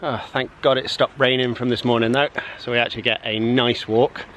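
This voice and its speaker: A man, a personal trainer talking through his day in a relaxed, rambling vlog with frequent ums. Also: medium pitch, brisk, slight background noise. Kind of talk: casual vlog narration. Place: home, car and outdoor walk.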